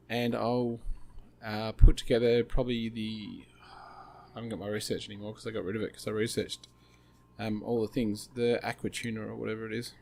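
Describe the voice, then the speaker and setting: A slightly hoarse man hosting a gaming channel, thinking aloud with many fillers as he plays. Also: mid-range voice, a little hiss or hum. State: slightly hoarse, losing his voice. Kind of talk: casual gameplay commentary.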